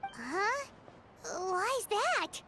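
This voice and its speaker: A woman voicing a small, childlike, excitable fairy companion in an anime-style video game, with a chirpy, squeaky voice. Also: extremely slow, background noise, full character voice. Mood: puzzled. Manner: speaks of herself in the third person, chirpy.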